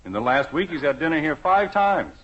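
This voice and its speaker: Sarcastic American suburban dad, highish hugely expressive voice, vintage radio comedy. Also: male voice, fairly quick. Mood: grumbling. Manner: dry, sarcastic. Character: wry, grumbling middle-aged suburban father. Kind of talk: 1950s radio sitcom acting. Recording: muffled, very noisy vintage radio recording.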